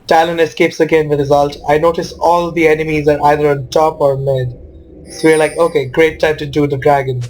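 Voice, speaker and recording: A man's voice: on the high side, little pitch movement, brisk, darkish tone, rough recording. A man giving casual commentary over his own video game match. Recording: noticeable background noise.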